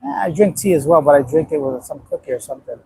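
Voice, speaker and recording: A man's voice: a little high, lively intonation, quick pace, gravelly, a little mellow, slight background noise, poor audio. A man in his late thirties from Afghanistan, rambling bluntly on a casual livestream and reacting to viewer comments. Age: 30s. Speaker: livestream host. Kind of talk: casual live-stream chat reading viewer comments.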